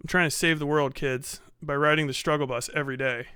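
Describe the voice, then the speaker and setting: Male streamer, slightly high bright voice, loose sweary chat with viewers. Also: steady tone, a little fast, clean tone, no echo, studio quality. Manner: profane, slangy. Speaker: livestream host. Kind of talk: casual livestream chat, reading and answering viewer comments.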